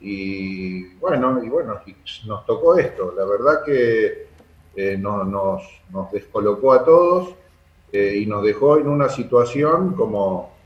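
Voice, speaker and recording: Argentine male interviewee, club official, lower register, halting delivery, muffled roomy audio. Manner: hesitant, searching for words. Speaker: sports club secretary being interviewed. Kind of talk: spontaneous interview answers. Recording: dull, echoey room sound.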